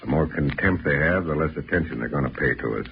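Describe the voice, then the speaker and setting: An actor playing a tough, cool-headed American detective, terse and dry, in a 1940s radio drama. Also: male voice, extremely low pitch, quick pace, vocal fry. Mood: firm. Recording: dull, noisy old radio recording.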